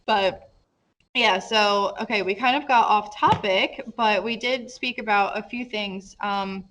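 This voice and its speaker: A woman hosting a casual podcast interview, whose first language is Polish, chatty and quick to laugh. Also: mid-range voice, a bit bright, slight background noise, low-quality recording. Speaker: podcast host.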